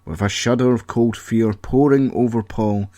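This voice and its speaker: A man's voice: mid-range voice, fairly even intonation, normal speed, warm tone, no room sound. A man reading a scripted audio story as its narrator.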